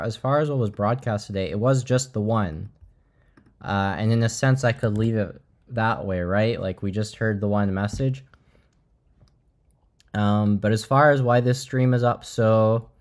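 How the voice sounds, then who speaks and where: A man hosting a livestream, talking casually and haltingly as he thinks aloud. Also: mid-range voice, a little mellow. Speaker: livestream host.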